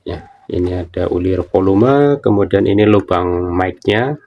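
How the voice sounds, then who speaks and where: A man's voice: low voice, unhurried, gravelly, muffled recording. A man giving a casual product demonstration, explaining things informally and often repeating himself. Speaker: product reviewer.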